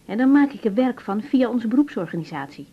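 A fairly high-voiced young doctor, caring but brisk and direct. She questions and reassures in a naturalistic radio drama, heard on a slightly dull recording. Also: expressive, husky. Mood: indignant, practical. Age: young adult.

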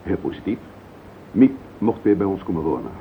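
An earnest, protective husband in a radio play, speaking Dutch of the Netherlands. His voice is very low and gravelly and swings widely with frustrated emotion. The old recording sounds tinny. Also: big pitch swings, fairly quick, slight background noise, heavily acted. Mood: defensive, explaining. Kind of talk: radio drama acting.

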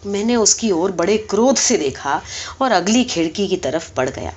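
A woman reading a short story aloud as expressive, scripted audiobook narration. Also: mid-range voice. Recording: dull, muffled sound.

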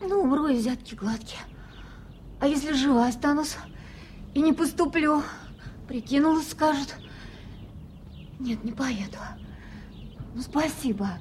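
An actress playing a stubborn, naive young woman in pain in a 1980s Soviet radio drama, speaking in halting, hesitant bursts. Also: high-pitched, a little mellow. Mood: defiant. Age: young adult. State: pained. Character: stubborn young provincial female exam applicant in pain. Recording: faintly noisy archive radio play recording.

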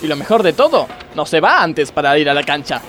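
An actor playing a pompous, stern blind factory boss in a comedic radio play, with an Argentine accent. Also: male voice, fairly high pitch, very animated, brisk, fairly bright tone, soft background music. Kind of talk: comedic radio theatre acting.

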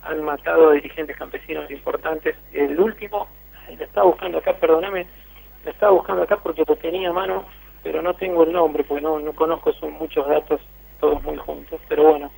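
A man's voice: on the high side, steady tone, brisk, creaky, darkish tone, husky. A man with an Argentine accent reporting by phone for a radio interview, hesitant, with many fillers and restarts. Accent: Argentine Spanish. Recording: tinny phone line.

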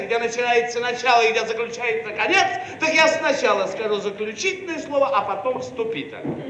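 An actor playing a pompous Soviet bureaucrat chief who orates in a drawn-out, theatrical manner in a satirical radio play. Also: male voice, extremely high pitch, pushed and bright, full character voice. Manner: pompous, oratorical, drawn-out delivery. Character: pompous male Soviet bureaucrat chief making a muddled speech. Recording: roomy, faintly noisy archive radio recording.

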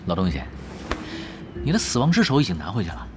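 Sardonic gamer, very expressive pitch. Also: male voice, fast talker, noisy background. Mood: skeptical, teasing. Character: cool, sardonic younger male pro gamer, teasing strategist. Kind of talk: Chinese audio drama acting.

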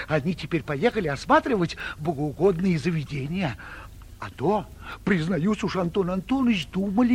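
An actor playing an excitable, timid provincial gossip with a husky high voice, deferential and rambling, in 1940s theatrical comedy style. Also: male voice, full character voice. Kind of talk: 1940s theatrical stage comedy acting for radio.

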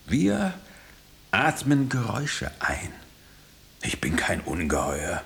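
Deep-voiced, haunted artificial man. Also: huge pitch swings, creaky, fairly bright tone, exaggerated voice. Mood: insistent, confiding. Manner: halting, insistent, breaking off sentences. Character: hunted, engineered noise-eating man, haunted and urgent. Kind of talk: German radio drama acting.

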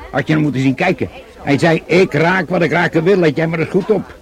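An actor playing a crude, jocular fishing-tackle seller at a market in a radio play, slangy. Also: male voice, fairly high pitch, brisk, natural voice. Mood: offhand.

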